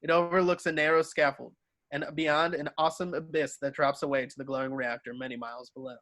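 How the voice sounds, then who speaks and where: Male narrator, slightly high voice, dry close sound, reading scene directions from a script. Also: steady tone, quick pace, a bit bright, no room sound.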